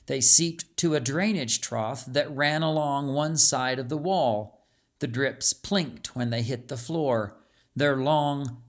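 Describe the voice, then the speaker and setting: Guy narrating an audiobook, mid pitch, really unhurried reading. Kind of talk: scripted story narration.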